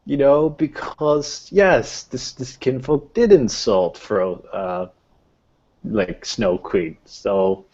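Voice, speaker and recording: A man acts as game master in a casual roleplaying session. He improvises in a halting way, searching for words, at a middling pitch. The audio is dull and lo-fi. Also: darkish tone, breathy edge. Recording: dull, lo-fi voice recording.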